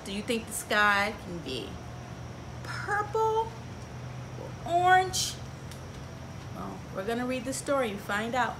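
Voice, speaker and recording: Expressive high-pitched woman reading to children. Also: takes it slowly, pauses often. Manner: expressive, engaging children with frequent questions. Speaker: story time host. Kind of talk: picture book read-aloud for children with asides and questions. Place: outdoors on a porch by trees. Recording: clear outdoor ambient noise.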